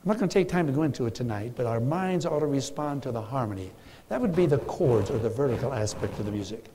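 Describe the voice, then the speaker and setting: A man's voice: medium pitch, expressive, fast, mellow. Older man, church lecturer, emphatic and wry, long hall reverb. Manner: earnest, emphatic, dry humor with audience. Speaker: guest speaker on church music. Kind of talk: teaching sermon to a congregation. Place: large church sanctuary. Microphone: lectern mic.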